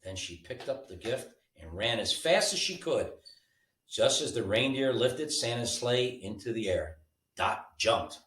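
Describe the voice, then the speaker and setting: Guy reading a kids bedtime story, kinda low voice, really lively and informal, echoey room, mic far off. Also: measured pace. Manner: animated, with informal asides. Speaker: storybook reader. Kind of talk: children's bedtime story read aloud. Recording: roomy, mic at a distance.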